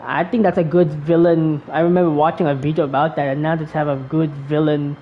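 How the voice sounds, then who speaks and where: A woman's voice: low voice, little pitch movement, fairly quick, lo-fi sound, a little hiss or hum. A woman giving casual live commentary on a game she plays, muttering asides and swearing. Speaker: gamer reacting to a game.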